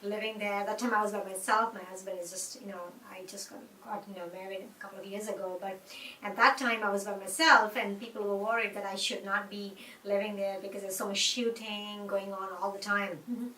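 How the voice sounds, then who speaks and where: A middle-aged woman with an Indian accent talks earnestly through an interview, circling back with fillers and restarts. Her voice is bright and clear. She sits away from the mic in a roomy space with a faint hum behind her. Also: medium pitch, flat delivery, a little fast. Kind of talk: conversational interview. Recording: echoey room, mic at a distance.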